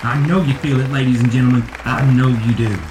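A man's voice: mid-range voice, steady tone, music in the background, lightly acted. An actor playing a greedy, folksy showman preacher delivering an unctuous sermon in an audio drama. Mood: unctuous, pious. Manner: unctuous, folksy, deliberate emphatic pauses. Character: greedy showman preacher working his congregation for donations. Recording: echoey, distant church hall with crowd.

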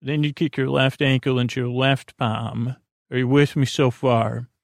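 A man hosting a bedtime podcast in a gravelly voice, meandering haltingly and often trailing off mid-thought. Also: mid-range voice, little pitch movement, no room sound, studio quality. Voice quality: creaky, gravelly. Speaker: podcast host. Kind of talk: rambling bedtime monologue.

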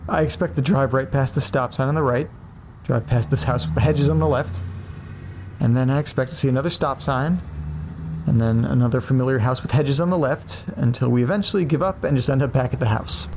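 A man's voice: mid-range voice, brisk, lightly acted. A man playing an earnest, anxious amateur podcaster in naturalistic, hesitant audio drama dialogue. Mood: resigned. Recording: faint car noise. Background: car interior ambience.

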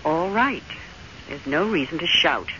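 An actress playing a prim, stubborn American wife in an old-time radio drama, clipped, chiding and quick to take offence. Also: female voice, sits low, animated, bright, forceful tone, full character voice. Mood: stubborn, defensive. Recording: muffled, noisy old radio recording.

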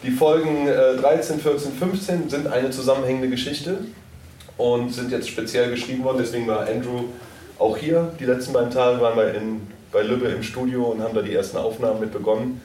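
A man's voice: medium pitch, steady tone, brisk, mellow. A man answering questions informally as an audio drama producer at a live event. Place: indoor convention hall, live event. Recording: echoey, noisy room, far from mic.

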